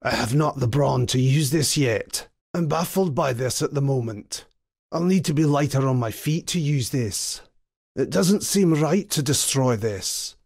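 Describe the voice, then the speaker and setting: A man voicing a devout, grim, righteous old templar in a video game, solemn and preacherly, with a gravelly, husky voice. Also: medium pitch, lively intonation, a little slow, a little mellow, no room sound, clean studio sound. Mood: matter-of-fact. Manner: solemn, pious, archaic preacherly phrasing. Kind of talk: dramatic video game character voice lines.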